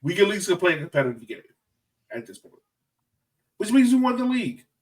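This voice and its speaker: Higher-pitched man hosting a casual podcast. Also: sing-song, a little fast, darkish tone, far from the mic, rough recording. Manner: informal, slangy, joking. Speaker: podcast host. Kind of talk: casual sports fan podcast chat.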